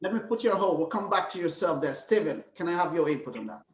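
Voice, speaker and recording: A man's voice: sits high, lively intonation, fast talker, reverberant, distant mic, lo-fi sound. A man hosting a panel talk show, moderating loosely with filler words.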